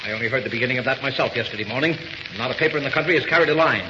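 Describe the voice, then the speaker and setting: An actor playing a brusque, excitable newspaper editor in a vintage radio drama, gruff, impatient and full of exclamations. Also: male voice, mid-range voice, rapid-fire, lightly acted. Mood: urgent. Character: brusque, excitable, blustering male big-city newspaper editor. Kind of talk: 1940s radio drama acting. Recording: noisy, muffled, reverberant old radio recording.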